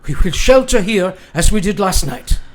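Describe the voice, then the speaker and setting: An actor playing a grave older professor and vampire hunter in a radio play, with formal, old-fashioned speech. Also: male voice, sits high, animated, moderate pace. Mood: grave, resolute. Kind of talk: radio drama acting.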